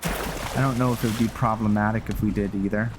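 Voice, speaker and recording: A man with a fairly low voice hosts a gameplay video in a casual style. He thinks aloud and wanders haltingly between remarks, with clear noise and game ambience behind him. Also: fairly even intonation. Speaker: gameplay video host and role-player.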